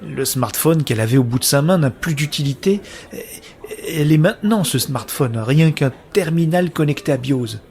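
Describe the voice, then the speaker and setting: An actor playing a brooding, anguished man who narrates his own science-fiction story in measured, literary, introspective phrasing. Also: a little high, expressive, darkish tone, a little hiss or hum. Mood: troubled, brooding. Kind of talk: scripted first-person narration of sci-fi audio drama.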